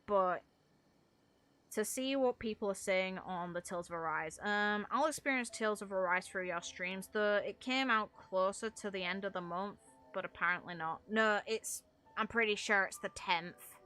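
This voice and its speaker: Bright-voiced young woman chatting on stream. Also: medium pitch, moderate pace, soft background music. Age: young adult. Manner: informal, rambling, mild swearing. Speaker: game streamer. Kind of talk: casual livestream chat with viewers.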